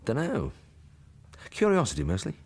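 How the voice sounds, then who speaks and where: The sensible English best mate: husky, very mobile intonation, wry and questioning. Radio drama. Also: male voice, medium pitch, wildly swooping pitch, moderate pace, darkish tone. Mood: dry. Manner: dry, questioning, exasperated. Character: dry, wary English male friend, sardonic and sensible. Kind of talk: British radio drama acting.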